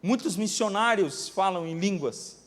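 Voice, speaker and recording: A Brazilian pastor preaches in a high, bright voice, his words carried by the long ring of a church hall. He tells stories with drama, rising loud and then dropping to a hush as he acts out the voices of those he quotes. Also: expressive, measured pace. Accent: Brazilian Portuguese. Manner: dramatic storytelling, acting out quoted voices, swinging from loud to hushed. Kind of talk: sermon.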